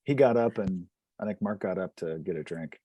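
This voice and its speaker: A man's voice: low-pitched, moderate pace, warm tone, breathy edge, dry recording. A man bantering casually as a player in a tabletop game.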